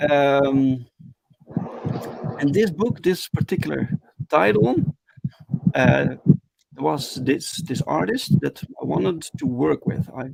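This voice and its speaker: Dutch guy on a video call, gravelly voice, lots of ums and restarts, dry sound. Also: a little high, a little mellow, no echo, poor audio. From Netherlands. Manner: halting, many ums and restarts. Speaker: guest, comic book creator. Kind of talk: casual interview chat.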